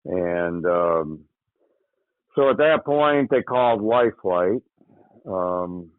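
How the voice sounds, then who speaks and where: Michigan man, low voice, halting storyteller, tinny phone-call sound. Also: lively intonation, dry recording. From Michigan, USA. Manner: halting, with frequent pauses and "you know". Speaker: interview guest recounting a hunting accident. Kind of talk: casual spoken recollection. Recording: tinny phone line, dry.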